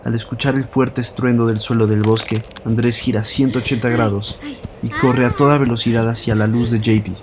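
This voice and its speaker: A man's voice: medium pitch, steady tone, brisk, noisy background. A man with a Mexican accent reading scripted narration for an audio story.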